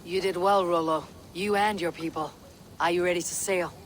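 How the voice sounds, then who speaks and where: Faint ambience surrounds an actress playing a female Viking warrior leader in a historical game. She speaks with measured, resolute authority, steady and composed. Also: on the low side, slight background noise, natural voice. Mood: commanding, resolute. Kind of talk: dramatic video game acting.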